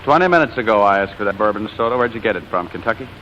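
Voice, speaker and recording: An actor playing a proud, sarcastic stage performer who is quick to dodge and snap back, in 1940s radio drama style. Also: male voice, on the low side, expressive, sharp tone, a little hiss or hum. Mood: sour, dismissive. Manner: sarcastic, wisecracking. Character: proud, evasive male stage performer, sour and fast-talking. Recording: dull old radio recording, music behind.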